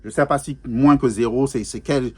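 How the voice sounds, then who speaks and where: A man's voice: medium pitch, fairly even intonation, moderate pace, breathy edge. A man hosting a music reaction video in a casual, chatty way, repeating his phrases, slipping English words into his talk and laughing often. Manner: laughs often while talking. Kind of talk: casual spoken reaction commentary.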